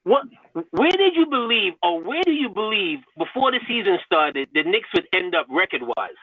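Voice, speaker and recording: A man's voice: sits high, very animated, moderate pace, no room sound. Man on a muffled phone line. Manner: pointed, challenging questions. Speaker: caller. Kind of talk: casual sports debate.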